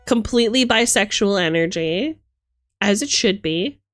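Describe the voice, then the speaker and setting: A woman's voice: mid-range voice, expressive, a little slow, dry recording, faint music behind. A woman doing casual livestream commentary as host, playful and theatrical, mixing gushing exclamations with dry asides. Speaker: streamer hosting a live book look-through.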